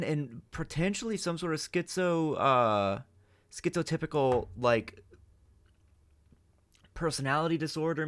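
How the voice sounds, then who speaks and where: A man of average pitch talks through his reactions off the cuff. He speaks haltingly, speculating as he goes, with the casual phrasing of a channel host. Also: fairly bright tone, clean tone. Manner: halting, speculative, mild swearing.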